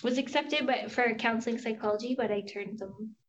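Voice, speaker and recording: A woman's voice: a little high, fairly quick, highs cut off, a little hiss or hum. A woman who works as an early childhood educator and center supervisor, telling her own story in an easygoing, reflective way with light fillers. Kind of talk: casual personal story.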